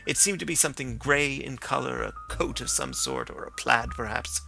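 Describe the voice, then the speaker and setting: A troubled young man on the stand, answering in a hesitant, guarded way, as a male narrator reads him. The voice is gravelly and crackling with a bright, tense edge. It comes from an audiobook of a classic story. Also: mid-range voice, wildly swooping pitch, moderate pace, faint music behind, full character voice.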